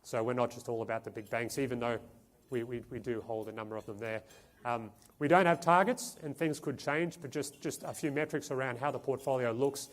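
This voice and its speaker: A man giving a conference presentation as a fund manager, talking in a halting way with frequent restarts and repeated words. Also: medium pitch. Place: conference stage.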